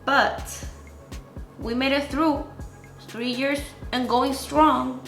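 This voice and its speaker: A young woman of about twenty with a Latin American Spanish accent speaks in a fairly high voice. She is chatty and excited, talking slangily like a beauty YouTuber. The room is clearly echoey, with some music behind her. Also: extremely slow. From Venezuela, grew up in the Dominican Republic. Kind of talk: casual makeup vlog to camera. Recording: roomy echo.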